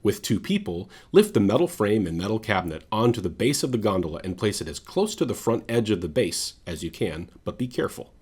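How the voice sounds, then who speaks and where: Low, husky male instructional narrator. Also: very animated, fairly quick, hardly any pauses, darkish tone. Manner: methodical, directive. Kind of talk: scripted step-by-step instructional narration.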